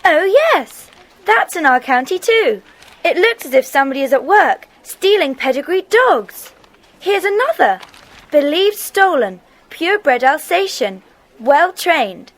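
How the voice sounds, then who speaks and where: A woman playing a tender-hearted, excitable schoolgirl in a children's audio drama, earnest and exclamatory. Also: sits high, unhurried. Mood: excited discovery.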